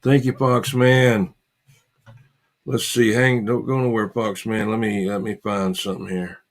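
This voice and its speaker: A man hosting a casual livestream, chatting with viewers in a halting, repetitive way and muttering asides to himself. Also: lowish voice, flat delivery. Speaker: livestream host.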